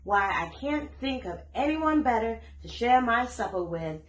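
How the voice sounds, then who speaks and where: A woman voicing a genial, gracious older Southern gentleman from a children's storybook. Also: fairly high pitch, expressive, measured pace, pitched above the performer's own voice. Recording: echoey room.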